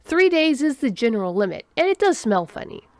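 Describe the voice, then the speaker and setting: A woman's voice: sits high, sing-song, a little fast, a little mellow, voice pushed up. A woman voicing an easygoing human man with a casual, slangy, offhand manner in a story. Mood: wary, casual. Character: easygoing human man, breezy friendly coworker.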